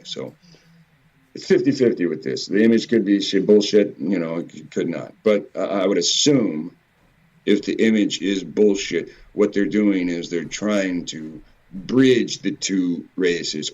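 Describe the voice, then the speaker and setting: A man giving informal, rambling commentary in a halting way, repeating words and swearing mildly now and then. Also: sits low, a little mellow, lo-fi sound. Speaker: video presenter. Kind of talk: informal rambling commentary over on-screen images.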